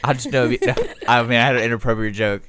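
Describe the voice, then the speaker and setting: A man's voice: medium pitch, fairly even intonation, brisk, background noise. A man co-hosting a radio show, joking and teasing in a casual way. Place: radio studio.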